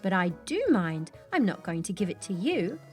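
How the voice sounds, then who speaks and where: A woman's voice: medium pitch, very animated, moderate pace, a little mellow, faint music behind, natural voice. Woman as a plucky, spirited little storybook girl. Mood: firm.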